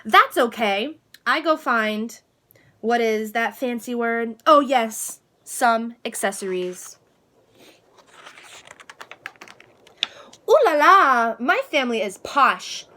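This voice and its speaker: A woman reading a children's storybook as an excitable, precocious little girl who adores being fancy, with a dramatic manner. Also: fairly high pitch, slow pace, exaggerated voice. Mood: delighted. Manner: dramatic, precocious, proudly explaining fancy words. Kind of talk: children's storybook read-aloud.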